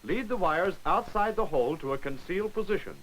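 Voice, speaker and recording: A man giving brisk, wry scripted narration for a 1940s military training film, on an old film soundtrack. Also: high voice, expressive, a bit bright, poor audio.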